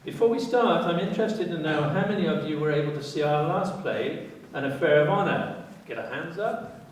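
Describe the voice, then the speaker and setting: Higher-pitched man in a very echoey theatre. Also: lively intonation, moderate pace. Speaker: narrator introducing a radio play. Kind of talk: introductory talk to a live audience. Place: indoor theatre, distant microphone. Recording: very echoey theatre, distant, faint noise.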